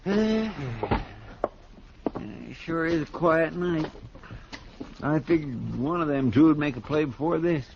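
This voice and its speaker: An actor playing an anxious, deferential marshal's sidekick in a 1950s radio western. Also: male voice, a little high, gravelly, darkish tone. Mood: musing. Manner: folksy, drawn-out country phrasing. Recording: muffled noisy 1950s radio recording.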